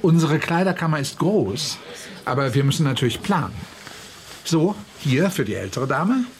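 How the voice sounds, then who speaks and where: A formal, persuasive tour guide in a radio drama. He speaks in a fairly high register with animated, swinging melody, always courteous and gently pushing his guests along. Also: moderate pace, slight background noise. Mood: ceremonious, officious. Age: 50s. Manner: polite, formal, officious, gently insistent. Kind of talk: German radio drama acting.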